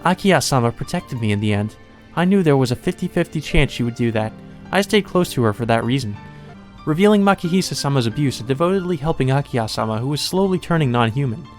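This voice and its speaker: A man's voice: mid-range voice, animated, fast talker, pitched above the performer's own voice, lightly acted. A man voicing an emotionless, doll-like young maid from a visual novel, detached and wistful. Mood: wistful, puzzled. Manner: detached, wistful, softly confessing. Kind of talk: visual novel character reading. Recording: music under the voice.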